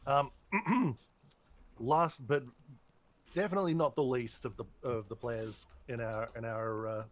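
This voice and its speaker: A man's voice: medium pitch, fairly even intonation, a little slow. A man, a player in a tabletop game, chatting casually in a playful, teasing way. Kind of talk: casual chat.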